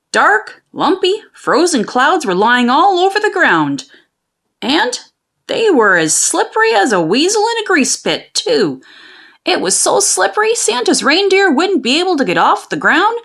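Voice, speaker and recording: Animated high-pitched woman reading a storybook. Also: a little slow, vocal fry. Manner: expressive, folksy storytelling. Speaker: children's book read-aloud narrator. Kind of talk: animated storybook narration of a folksy tall tale.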